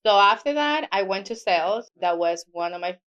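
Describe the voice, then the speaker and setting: A woman's voice: mid-range voice, expressive, moderate pace, a bit bright, clear, resonant tone, dull audio. A middle-aged woman with a Spanish accent, a native Spanish speaker, telling her work story in a casual interview with small grammar slips. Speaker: guest, mortgage loan officer.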